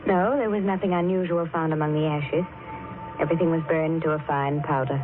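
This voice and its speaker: A woman's voice: low voice, steady tone, a little fast, narrow, tinny audio, natural voice. A woman playing a matter-of-fact hospital nurse in a 1940s radio drama. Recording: thin vintage radio with music behind.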